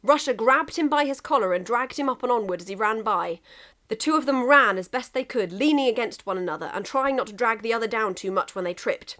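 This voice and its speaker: A woman reading a scripted story aloud as an expressive narrator. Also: a little high, a little fast. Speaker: narrator, fan fiction reader.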